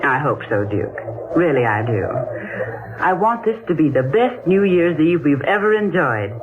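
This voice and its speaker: A woman's voice: sits low, sing-song, moderate pace, background noise. An American woman playing a hospitable, uneasy hostess in 1940s radio drama style. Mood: gracious. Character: hospitable, uneasy woman hosting New Year's Eve. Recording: muffled 1940s radio recording, music behind.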